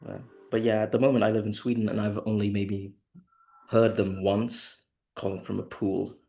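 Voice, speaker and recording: Brief: deep-ish man from Thailand, easygoing, talking on a video call. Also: level tone, moderate pace, vocal fry, warm tone. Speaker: co-host of an online workshop. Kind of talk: casual online session chat.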